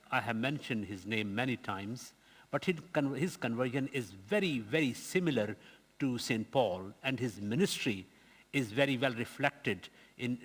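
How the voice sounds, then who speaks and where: Male preacher, earnest storytelling, mid pitch, church reverb. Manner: earnest storytelling with halting restarts. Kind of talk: sermon.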